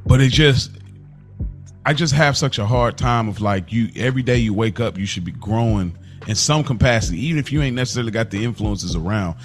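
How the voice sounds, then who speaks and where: A man's voice: on the low side, brisk, soft background music. A man giving impassioned opinions as a podcast panelist, talking in informal slang and repeating himself for emphasis.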